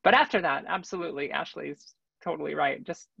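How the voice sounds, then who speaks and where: Creaky, bright-voiced panelist. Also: medium pitch, brisk, no room sound. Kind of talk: reflective panel discussion. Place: indoor room, video call. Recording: dull video call audio.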